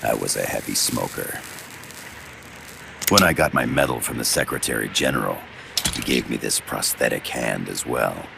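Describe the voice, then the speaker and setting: An actor playing a sly older Soviet professor and spy in a game cutscene, speaking in a measured, formal, gravelly way. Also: male voice, animated, background noise, heavily acted. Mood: courteous, sly. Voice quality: very deep gravelly voice. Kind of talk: video game cutscene voice acting. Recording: music under the voice.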